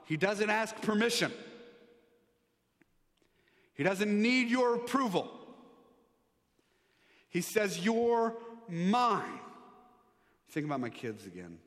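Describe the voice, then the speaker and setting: A male pastor preaching a sermon, telling stories and building up to forceful emphasis. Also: sits high, slow pace, pauses often. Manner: animated preaching, rising and louder on emphatic points; sings hymn lines softly. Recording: long reverb of a large hall.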